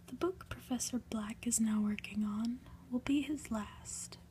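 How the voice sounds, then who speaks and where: A woman whispering softly and breathily in an ASMR style, gentle and unhurried, as a reader and host. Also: on the high side, slight background noise. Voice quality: breathy, mostly unvoiced whisper. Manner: soft, unhurried, gentle delivery. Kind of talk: whispered ASMR, storybook reading and soft chat.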